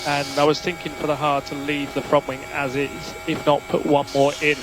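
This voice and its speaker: A man working as a race engineer, giving measured, clipped instructions over team radio and repeating key calls. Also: a little high, flat delivery. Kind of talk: instructional radio messages to a racing driver. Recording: noisy motor racing team radio.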